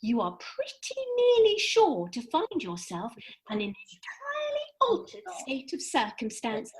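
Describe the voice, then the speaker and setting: A woman plays a lofty fairy in a comic radio play. Her voice is high and swoops dramatically up and down as she lectures in brisk, precise, theatrical phrases. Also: huge pitch swings, crowd noise, exaggerated voice, rough recording. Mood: instructive, grave.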